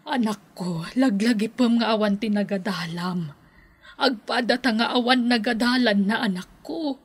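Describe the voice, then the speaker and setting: A woman with an Ilocano Filipino accent playing a long-suffering, ailing mother in a radio drama, her voice husky. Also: fairly high pitch, moderate pace. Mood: worried, scolding.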